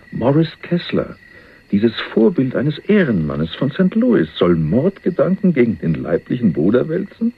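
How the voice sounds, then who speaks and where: An actor playing a laid-back, sceptical drifter and jailbird in a crime radio play, gravelly and husky, speaking with teasing, sardonic understatement. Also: male voice, mid-range voice, heavily acted. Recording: muffled phone-like narrowband radio recording.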